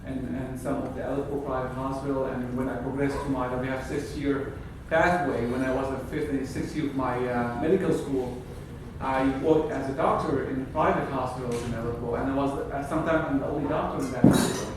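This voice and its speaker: Syrian accented guy, 30s, halting grad speech full of restarts, echoey hall, caught from far off. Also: medium pitch, steady tone, background noise. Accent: Syrian Arabic. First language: Arabic. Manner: hesitant, searching for words, many restarts. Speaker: graduating surgical resident. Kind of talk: graduation speech. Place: wood-paneled hall indoors at a podium. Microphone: far camera.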